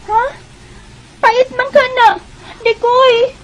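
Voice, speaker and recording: A woman's voice: very high-pitched, flat delivery, slow pace, muffled recording. A woman playing a naive, plaintive and stubborn teenage daughter in a Bisaya radio drama, with a Cebuano Filipino accent. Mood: puzzled, reluctant.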